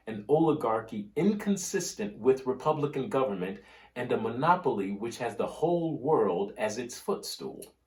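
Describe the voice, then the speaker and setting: A man giving a scripted dramatic reading of history and old oratory in a solemn, measured, oratorical manner with deliberate pauses. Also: medium pitch, a little mellow. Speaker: reader of a history book. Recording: roomy, echoing room sound.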